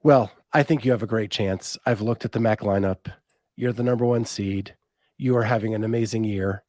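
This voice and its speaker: A man's voice: lowish voice, fairly even intonation, normal speed, darkish tone, dry recording, studio quality. A man hosting a podcast, asking easygoing questions and reading out listener comments. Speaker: podcast host. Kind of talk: casual podcast interview.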